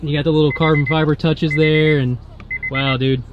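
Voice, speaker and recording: A man's voice: a little high, little pitch movement, moderate pace, highs cut off, noisy background. A man hosting a car show, chatting casually and enthusiastically with a car owner at an outdoor racetrack. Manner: enthusiastic, casual, keeps saying man and dude. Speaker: show host. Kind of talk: casual interview.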